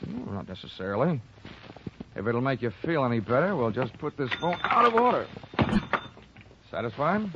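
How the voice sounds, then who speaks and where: A man's voice: mid-range voice, very animated, moderate pace, pauses often, very noisy. An American man playing an unflappable, wry hard-boiled insurance investigator who also narrates, in 1940s radio detective drama style. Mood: sardonic, cool. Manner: wry, clipped, sardonic. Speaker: lead actor and narrator. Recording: dull vintage 1940s radio recording, music.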